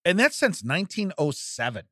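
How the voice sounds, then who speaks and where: A man's voice: high voice, very animated, normal speed, fairly bright tone, breathy edge, no room sound. A man co-hosting a comedic podcast in a studio, rambling and laughing often as he reads out news stories. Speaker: podcast co-host. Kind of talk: casual comedic podcast chat. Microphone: studio microphone.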